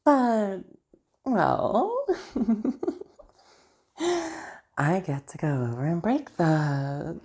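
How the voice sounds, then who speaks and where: A woman playing a crazy, seductive female patient in an audio roleplay, teasing and menacing, with halting, drawn-out phrases that drop toward a hush. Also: fairly low pitch, very animated, husky, full character voice. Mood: teasing, scheming. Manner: teasing, halting, drawn-out words with sudden hushed drops. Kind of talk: audio roleplay monologue addressed to listener.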